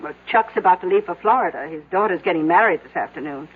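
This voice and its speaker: An actress playing a loyal, worried secretary in a radio drama, deferential yet protesting. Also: female voice, fairly low pitch, fast talker, lightly acted. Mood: dutiful. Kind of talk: 1970s radio drama acting. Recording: tinny band-limited old radio, faint hiss.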